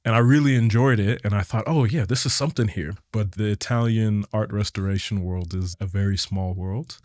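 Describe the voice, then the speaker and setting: A man's voice: on the low side, brisk, no room sound, studio quality. A middle-aged South African man with a slight American twang, telling stories in a casual interview and laughing often. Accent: South African with some American twang. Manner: laughs often, animated storytelling. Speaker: guest.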